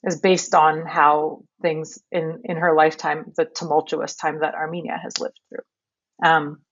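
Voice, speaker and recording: A woman's voice: deep voice, flat delivery, measured pace, highs cut off, slight background noise. A woman from Canada answering interview questions in a thoughtful, conversational way, often restarting her sentences. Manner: thoughtful, many fillers and restarts. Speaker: podcast narrator and guest.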